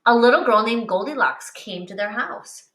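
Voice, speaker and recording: A woman teacher reading a picture book aloud to young children in an expressive, instructive way, pausing to explain and addressing them directly. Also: a little high. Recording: roomy, echoing, distant microphone.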